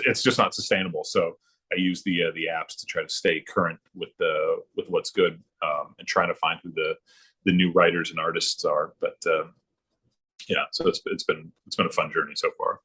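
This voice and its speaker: Guy on a podcast, pretty deep voice, bit raspy, rambles w lots of ums and restarts. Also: fairly even intonation, pushed and bright. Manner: halting, rambling, many ums and repeated words. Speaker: podcast guest. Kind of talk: casual chat about hobbies.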